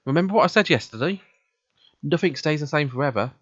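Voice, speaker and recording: A man's voice: fairly high pitch, expressive, fast, a bit bright. A man reads visual novel narration and dialogue aloud for a let's play. His delivery is casual and conversational. The recording is a little dull and lo-fi. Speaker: let's play reader voicing the protagonist.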